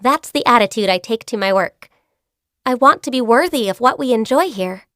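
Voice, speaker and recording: An actress voicing a gentle, shy and diligent young female secretary in a video game, soft-spoken, earnest and a little hesitant. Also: high-pitched, dry recording, clean studio sound. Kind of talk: video game character voice lines. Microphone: close studio mic.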